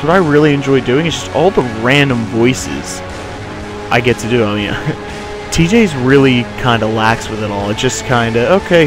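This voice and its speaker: Young man, casual rambling interviewee, mid pitch, music underneath. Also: rough recording. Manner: chatty, rambling anecdotes. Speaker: voice actor.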